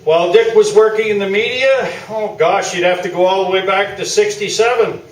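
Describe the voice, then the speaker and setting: Man playing a smug, high-voiced old-school sports columnist. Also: fairly quick, lightly acted. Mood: smug, mock-sorrowful. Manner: pompous, mock-sincere. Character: smug, self-promoting veteran male sports columnist, falsely modest. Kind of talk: staged radio play interview before an audience. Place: small theatre, live staged performance. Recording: roomy distant stage recording with noise.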